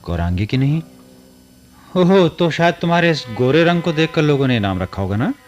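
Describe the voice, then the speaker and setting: A man's voice: a little high, big pitch swings, moderate pace. An actor in a radio play playing a lonely, brooding man who muses theatrically and hesitates, repeating phrases. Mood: curious, uneasy. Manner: theatrical musing, hesitant repetitions. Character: lonely brooding man, wistful, curious, easily smitten. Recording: music under the voice.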